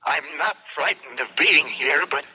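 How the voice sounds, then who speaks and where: High, breathy, bewildered college son. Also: male voice, animated, full character voice. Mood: earnest, dazed. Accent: American. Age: young adult. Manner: halting, earnest, wondering. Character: bewildered college son trapped in another dimension. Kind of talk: radio drama acting. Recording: muffled vintage radio drama recording.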